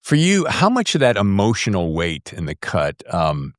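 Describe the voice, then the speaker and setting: A man's voice: on the low side, huge pitch swings, normal speed, dry recording, clean studio sound. A man with an American accent hosting a casual interview, chatty and jokey, acting out remarks as he quotes them. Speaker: podcast host.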